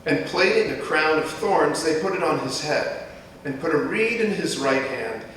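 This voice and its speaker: Male scripture narrator with a lighter, higher register, reading publicly, distant from the mic in a very echoey church. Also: animated, moderate pace, a bit bright. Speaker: scripture reader. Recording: echoey church hall, distant mic, faint rumbling noise.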